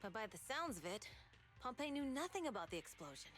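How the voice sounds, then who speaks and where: A woman's voice: a little high, big pitch swings, fairly quick, a bit bright, soft background music. A woman voicing a brash, fearless young fighter in a video game, emphatic and confident. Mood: pondering.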